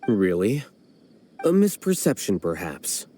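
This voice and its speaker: An actor playing an aloof, logical young scholar in a video game, speaking in a dry, composed, formal way. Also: male voice, fairly low pitch, sing-song, slow, warm tone, heavily acted. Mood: curt, composed. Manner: dry, composed, matter-of-fact, formal wording. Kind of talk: scripted video game voice acting. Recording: music playing behind the voice.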